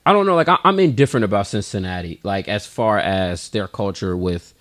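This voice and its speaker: Quick-talking young man from Ohio. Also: fairly low pitch, lively intonation, fairly bright tone. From Ohio, USA. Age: 20s. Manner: joking, loose and profane. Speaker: podcast host. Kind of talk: casual interview chat.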